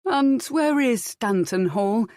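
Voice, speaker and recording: Woman voicing a skeptical, pompous prior, lifted pitch. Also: expressive, slow, darkish tone, no echo, clean studio sound. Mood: questioning. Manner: questioning, formal, archaic speech. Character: portly youthful-faced prior, skeptical, pompous cleric.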